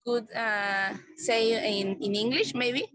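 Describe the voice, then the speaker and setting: A woman from Peru with a Peruvian Spanish accent, asking a question on a video call in halting, apologetic English. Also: fairly high pitch, fairly even intonation, a bit bright, dry recording.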